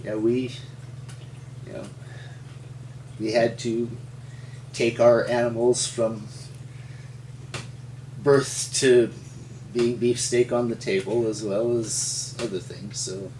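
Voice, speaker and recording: A man's voice: medium pitch, level tone, slow pace, pauses often, a little mellow, a little hiss or hum. A man, a paleontologist, telling folksy, rambling stories in an interview. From Montana. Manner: rambling, folksy, chuckling storyteller. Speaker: resident paleontologist. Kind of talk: storytelling interview. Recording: echoey room, mic at a distance.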